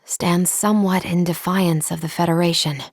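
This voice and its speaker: An actress voicing a composed, polite female android in a video game, speaking in formal, measured, precise phrases. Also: lowish voice, fairly even intonation, dry recording. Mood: serious, measured. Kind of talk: scripted video game character lines.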